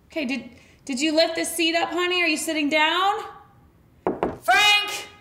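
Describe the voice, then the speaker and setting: A woman's voice: extremely high pitch, unhurried, sharp tone, reverberant. A woman in her thirties playing a mother, pleading and coaxing through a locked bathroom door in a comedy sketch. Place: home hallway by bathroom door. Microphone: boom mic.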